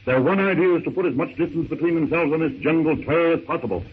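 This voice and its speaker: A man's voice: on the high side, quick pace, phone-quality sound, lightly acted. A man narrating a 1930s radio adventure serial in a theatrical, suspenseful, declamatory manner. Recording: thin, noisy 1930s radio recording.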